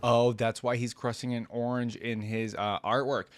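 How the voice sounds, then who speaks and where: A man's voice: mid-range voice, little pitch movement, normal speed, slight background noise. A man hosting a reaction video, giving casual commentary and rambling as he thinks aloud.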